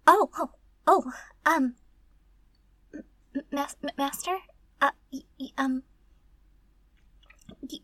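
A woman's voice: high voice, sharp tone, no room sound, full character voice. A woman playing a timid, curious, easily flustered female servant in an intimate audio roleplay, murmuring breathily and stammering. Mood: shy, tentative.

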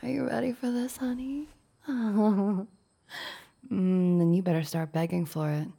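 A woman playing a dominant, teasing boss in an intimate adult audio roleplay, breathy and often dropping into whispers. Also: mid-range voice, steady tone, unhurried, warm tone. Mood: teasing, commanding. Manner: breathy delivery with sighs and drops into whispers.

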